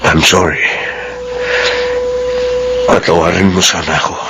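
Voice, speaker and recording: Deep-voiced, earnest father. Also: level tone, unhurried, very noisy. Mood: remorseful, pleading. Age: middle-aged. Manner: earnest, solemn, sentimental. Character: remorseful, loving father of two grown children. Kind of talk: Tagalog drama acting.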